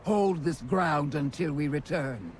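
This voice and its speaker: A woman's voice: sits low, lively intonation, measured pace, background noise. A woman playing a stern orc warrior baroness in a fantasy game, speaking in a solemn, commanding manner. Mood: urgent, commanding. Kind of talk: dramatic fantasy game dialogue. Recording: loud music behind.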